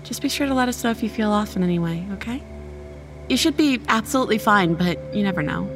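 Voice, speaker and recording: A young woman playing a sassy, sharp-tongued college student in an audio horror drama, blunt and sarcastic. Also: medium pitch, lively intonation, quick pace, faint music behind, lightly acted. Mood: practical. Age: young adult. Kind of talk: radio horror drama acting.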